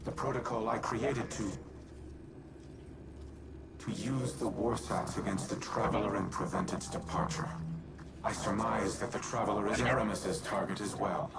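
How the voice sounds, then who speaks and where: An actor playing an ancient male warmind AI, speaking in a measured, formal, grave way with a gravelly voice. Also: on the low side, heavy background noise. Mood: commanding. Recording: game audio in a reverberant space with loud music.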